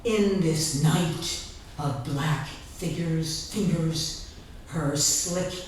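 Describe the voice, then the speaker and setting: A woman giving a dramatic literary reading of her own writing, theatrical and deliberate, with long pauses between phrases. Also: low-pitched. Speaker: author reading from own memoir. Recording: echoey room, distant mic, faint background noise.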